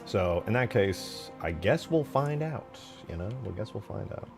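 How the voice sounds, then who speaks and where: A man's voice: fairly low pitch, lively intonation, unhurried, gravelly, over music. A man chatting informally on a gaming livestream, thinking aloud as he works. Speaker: game modder and streamer. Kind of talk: casual livestream chat.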